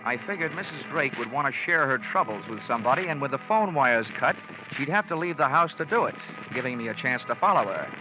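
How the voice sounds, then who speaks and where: Casting: actor as a wry hard-boiled detective narrator, old radio sound, dull lo-fi with score. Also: male voice, mid-range voice, animated, fairly quick, over music, close to an everyday voice. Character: wisecracking male insurance investigator, dry and sardonic. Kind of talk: 1950s radio drama acting.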